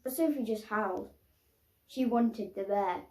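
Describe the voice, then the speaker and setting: A woman reading a children's picture book aloud expressively, pausing to explain the pictures. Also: mid-range voice, warm tone. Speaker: storybook reader. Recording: roomy, echoing sound.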